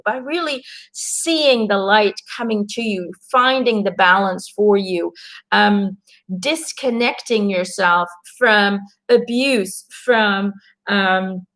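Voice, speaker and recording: A woman's voice: medium pitch, slow pace, a bit bright. A Belgian woman whose first language is Dutch, doing a casual, earnest card reading for viewers and encouraging them with plenty of fillers and restarts. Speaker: intuitive card reader.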